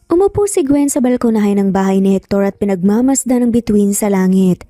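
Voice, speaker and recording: A woman's voice: medium pitch, animated, brisk, dark tone, no echo, clean studio sound. A woman narrating a romance story, acting out the dialogue as she goes. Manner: acts out dialogue between narrated lines. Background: background music.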